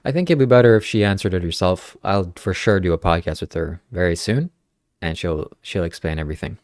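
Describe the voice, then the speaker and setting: A man's voice: deep voice, fairly quick, a little mellow, dry recording. A man whose first language is Ukrainian, hosting a casual podcast and earnestly reading viewer comments aloud. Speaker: podcast host. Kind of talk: casual livestream podcast chat.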